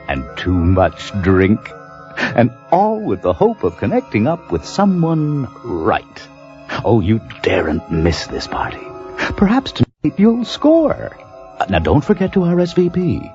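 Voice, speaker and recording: A man's voice: medium pitch, wildly swooping pitch, takes it slowly, darkish tone. A man playing an insinuating, sardonic host introducing a radio mystery drama. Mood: taunting, sly. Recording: dull old radio broadcast with music.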